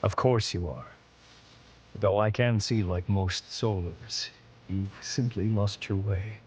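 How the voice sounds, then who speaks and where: An actor playing a stern, honorable veteran alien admiral in a video game, speaking in a formal, measured, authoritative way. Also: male voice, on the low side, fairly even intonation, a little mellow, slight background noise. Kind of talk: video game cutscene acting.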